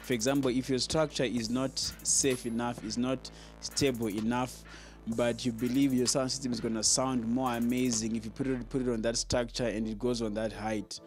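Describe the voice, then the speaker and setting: A man's voice: medium pitch, level tone, normal speed, darkish tone, soft background music. A man giving an informal explainer as a sound engineer, earnest and emphatic, often repeating words as he goes. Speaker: sound engineer and video host giving advice.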